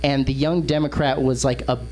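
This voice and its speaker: A man from Texas with an American accent hosts a comedy panel show in casual, profane, excitable banter. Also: medium pitch, a little fast. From Texas, United States. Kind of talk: casual comic political chat.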